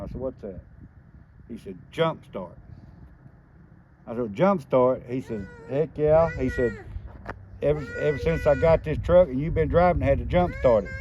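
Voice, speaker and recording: A man's voice: on the high side, a little mellow, muffled recording. A middle-aged man with a Southern US accent telling an anecdote casually in a folksy, rambling, plainspoken way. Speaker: storyteller on a homesteading family channel. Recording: noisy ambient background.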